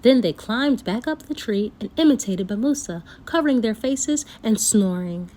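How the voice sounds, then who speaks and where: Female storyteller, higher-pitched voice, expressive and deliberate read-aloud of a folktale for kids. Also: background noise.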